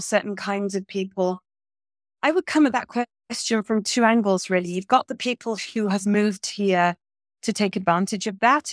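A woman's voice: medium pitch, no echo, studio quality. A middle-aged woman from England, a guest in a podcast interview, talking in a chatty, thoughtful way with many fillers and restarts.

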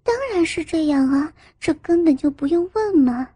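An arrogant young woman of a romance audiobook, acted out by a woman narrator. She speaks very high, with a haughty, whining edge, in a dry close recording. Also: animated, moderate pace, dark tone, dry recording. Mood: indignant. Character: arrogant, spoiled young woman, clingy and shrill when crossed.

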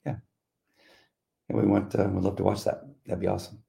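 A man's voice: on the low side, flat delivery, fairly quick, vocal fry, warm tone. A man co-hosting a faith talk show, rambling through stories and laughing easily. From United States. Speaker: podcast co-host. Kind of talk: casual chat.

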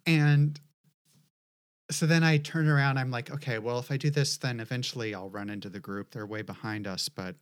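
A woman's voice: very deep voice, fairly even intonation, normal speed. A middle-aged woman co-hosting a podcast, telling a rambling, casually profane story with many fillers. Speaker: podcast co-host.

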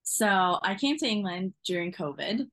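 A woman's voice: medium pitch, very animated, moderate pace, fairly bright tone. A young woman from Norway, a newly qualified driving instructor, talking casually in an interview and laughing often.

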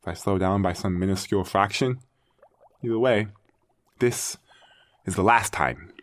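A man's voice: deep voice, normal speed, dry recording, close to an everyday voice. A man playing an aging artificial intelligence, a doting creator-parent musing with theatrical wonder in an audio drama monologue. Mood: musing, reassuring. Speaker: narrator and lead character. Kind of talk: dramatic first-person audio fiction monologue.

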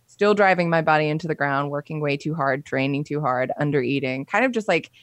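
Female guest, lowish voice, dry close sound, candid chatty storyteller. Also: a little fast, dry recording. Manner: frank and conversational, with frequent fillers. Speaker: podcast guest.

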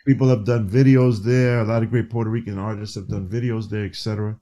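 A man from New City, a guest and educator, telling stories in a casual interview, animated and often restarting his phrases. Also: lowish voice, fast, dull audio. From New York City. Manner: animated, restarts phrases, frequent "you know".

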